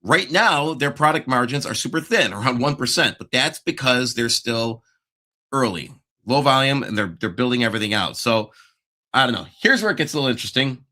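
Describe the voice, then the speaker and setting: A man's voice: mid-range voice, expressive, fairly quick, pushed and bright. A man hosting a casual stock analysis livestream, chatty and self-interrupting, saying he is losing his voice. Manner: chatty, self-interrupting, checks the live chat. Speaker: stock market show host.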